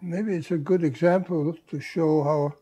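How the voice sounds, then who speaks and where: An older man, recalling his past in an oral history interview, speaking in a measured, wry way, seated in a living room. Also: fairly high pitch, fairly even intonation, warm tone, husky. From Netherlands.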